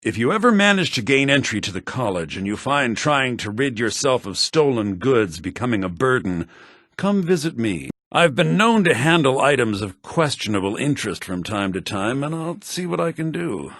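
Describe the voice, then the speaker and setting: A male actor playing a refined, earnest scholar-mage in a video game, speaking in an articulate, formal, measured way. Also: medium pitch, big pitch swings, a bit bright, no echo, studio quality. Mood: discreet, businesslike. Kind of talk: video game character dialogue.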